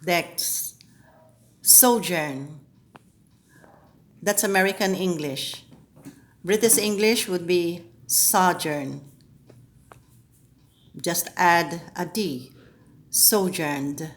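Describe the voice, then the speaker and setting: A woman teaching scripture readers, reading a liturgical text slowly and deliberately, pausing at each phrase. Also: on the low side, roomy sound. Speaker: lector trainer. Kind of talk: slow, measured scripture reading and pronunciation drill.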